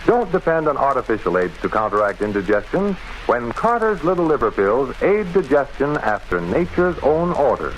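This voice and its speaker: A man's voice: mid-range voice, very animated, normal speed, few pauses, muffled recording, slight background noise. A man announcing a product in the style of an old radio advertisement, on an aged recording. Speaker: commercial announcer. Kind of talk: vintage radio advertisement read.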